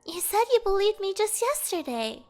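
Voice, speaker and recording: A woman voicing an excitable, stubborn little sister who protests in a childish, insistent way. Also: very high voice, normal speed, faint music behind, pitched above the performer's own voice, heavily acted. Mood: insistent, excited.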